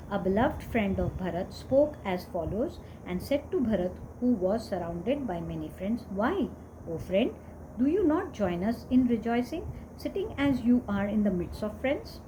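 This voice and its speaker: Woman reading scripture over noticeable background noise. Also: medium pitch. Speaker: reader of scripture.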